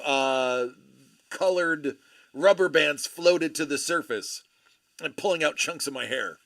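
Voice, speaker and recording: Man, stream host, casual rambling chat, joking storyteller, lively pitch, gravelly edge. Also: no echo, clean studio sound. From Buffalo area, USA. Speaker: host of a live card break stream.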